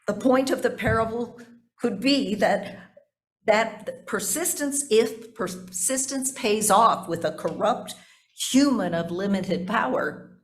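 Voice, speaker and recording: A woman's voice: mid-range voice, expressive, slow pace. A woman pastor preaches a sermon with a mid-range voice. She explains scripture and puts emphatic stress on key words.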